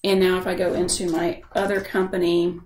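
A woman giving a casual software tutorial as a screen-share voice-over, explaining informally and thinking aloud. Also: on the low side, flat delivery, fairly quick, reverberant. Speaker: software instructor.

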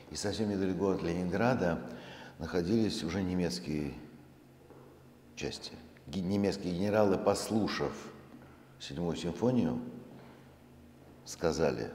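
Very deep-voiced man lecturing in echoey hall. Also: a little mellow. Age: middle-aged. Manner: measured, deliberate, with pauses mid-phrase. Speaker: museum director presenting a project. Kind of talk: public lecture to an audience. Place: large concert hall stage, indoors. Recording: echoey hall, faint background noise.

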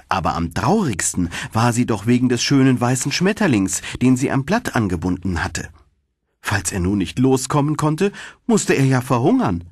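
A male narrator reads a fairy tale in an expressive storytelling style. His voice is slightly low and husky, with very animated intonation. He tells it as a scripted audiobook. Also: no echo, studio quality.

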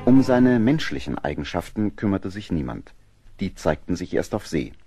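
A man narrating a historical adventure radio play in a measured storytelling manner. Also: low voice, big pitch swings, mellow. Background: music.

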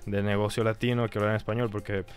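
Low-voiced Dominican man in his twenties. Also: level tone, quick pace, faint music behind. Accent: Dominican Spanish. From Dominican Republic. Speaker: guest, marketing entrepreneur. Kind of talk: casual interview chat. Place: small studio with a patterned wall. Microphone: studio mic on a stand, headphones.

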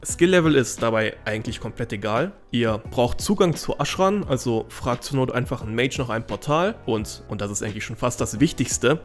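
A man narrating a scripted gameplay guide as host, talking to his viewers in a friendly, direct way. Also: mid-range voice. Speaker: gaming video host. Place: voice-over over game footage.

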